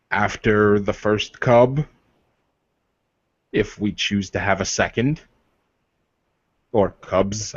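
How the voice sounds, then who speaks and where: A man playing a blunt, exasperated male werewolf in a tabletop game, speaking in a deliberate, halting, emphatic way. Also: lowish voice, no echo, highs cut off. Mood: measured, firm.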